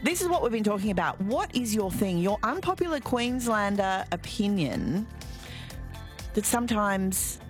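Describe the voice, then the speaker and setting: A woman's voice: mid-range voice, animated, moderate pace, faint music behind. An Australian woman hosting talkback radio, chatty and upbeat as she takes calls and reads out numbers and quiz clues. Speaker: radio host. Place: radio studio. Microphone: studio mic.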